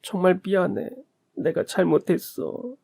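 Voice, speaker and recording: A man's voice: sits high, very animated, a little slow, gravelly, a little mellow, voice pushed up, full character voice. A man voicing a remorseful middle-aged woman, a former school bully, who gives a tearful, choked apology.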